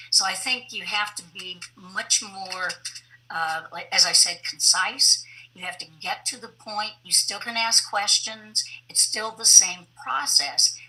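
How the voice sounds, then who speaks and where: A woman giving deliberate, explanatory interview answers as a theatre director on a video call, thinking aloud in short phrases. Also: medium pitch, bright, forceful tone, poor audio.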